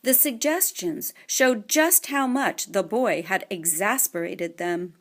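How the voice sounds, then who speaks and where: A woman narrating a children's audiobook in an expressive, scripted storytelling manner. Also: medium pitch, takes it slowly.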